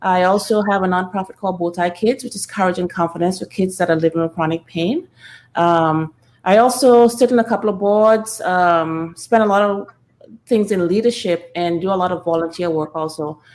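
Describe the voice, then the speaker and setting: A woman's voice: on the low side, normal speed, darkish tone. A middle-aged woman, explaining earnestly and conversationally as an interview guest. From Trinidad. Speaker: podcast guest, speaker and nonprofit founder.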